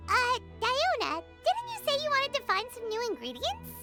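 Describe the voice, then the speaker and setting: A woman's voice: extremely high pitch, huge pitch swings, normal speed, full character voice. A woman voicing a feisty, bratty little cat-girl bartender with a childlike, emphatic delivery in a video game. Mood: upbeat, determined. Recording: game music behind the voice.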